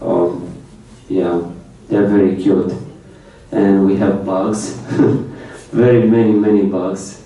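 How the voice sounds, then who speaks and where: A young man who grew up speaking Romanian talks through his slides in simple English, repeating words as he goes. His voice sits low and dark. The very echoey lecture room and the distant mic make him sound far away. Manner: plain speech in non-native English with many repetitions, light laughs. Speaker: foreign student presenter. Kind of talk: informal slide presentation.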